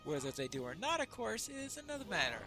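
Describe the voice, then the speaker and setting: A man's voice: high-pitched, sing-song, fast, music in the background. A man giving casual commentary as he plays a game, musing mildly to himself. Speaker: gamer narrating a playthrough.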